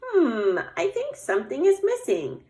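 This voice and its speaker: A woman voicing a sweet, excited young female bear cub in a storybook, exclaiming and pondering aloud. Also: sits high, unhurried, echoey room, voice pushed up, heavily acted.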